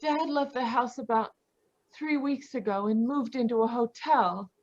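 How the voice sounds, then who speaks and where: A high, feminine voice plays the bereaved daughter of an old radio mystery as acted by a woman. She is polite and distraught, in the melodramatic manner of vintage radio. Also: a little slow, muffled recording. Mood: subdued, explaining. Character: distraught, polite grown daughter of murdered man. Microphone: video call.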